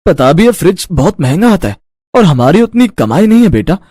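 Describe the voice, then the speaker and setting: A man voicing a humble, practical village potter and father in a children's story, earnest and expressive. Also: high voice, a little fast, breathy edge, no echo, full character voice. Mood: reasoning. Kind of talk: children's moral story acting.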